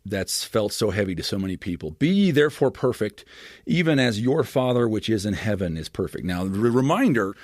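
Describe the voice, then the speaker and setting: A man on a podcast as a guest, explaining scripture in an animated, expressive teaching manner with frequent restarts. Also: fairly low pitch, brisk, dry recording, clean studio sound.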